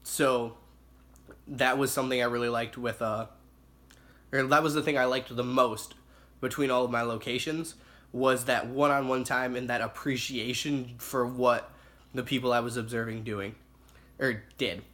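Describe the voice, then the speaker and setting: Young male student, raspy and hoarse from illness, casual and halting with lots of fillers. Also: mid-range voice, steady tone, a bit bright. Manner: halting, many fillers and self-corrections. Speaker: student reporting on observation hours. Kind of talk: casual spoken report.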